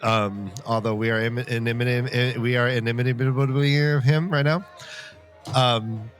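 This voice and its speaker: A man hosting a podcast in a jokey, casual way, excitable and stumbling over his words. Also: mid-range voice, normal speed, soft background music. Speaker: podcast host.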